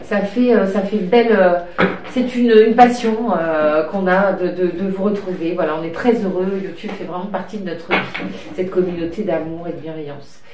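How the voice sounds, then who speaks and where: A woman's voice: on the low side, moderate pace. A woman with a cold, her voice a little stuffy and interrupted by coughs, talks through tarot cards in a meandering, hesitant way full of fillers. She sounds affectionate as she talks to her cat and child. She is recorded from some way off in a room with noticeable echo and a faint hiss. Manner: rambling, hesitant, with many fillers. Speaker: tarot card reader. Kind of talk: casual card-reading chat. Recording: echoey room, distant mic, light noise.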